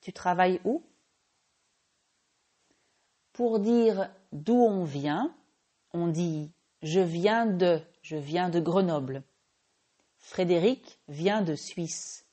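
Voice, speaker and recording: A French woman who teaches French, hosting a slow, clear language-lesson podcast and patiently correcting her own small slips. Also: fairly low pitch, warm tone. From France.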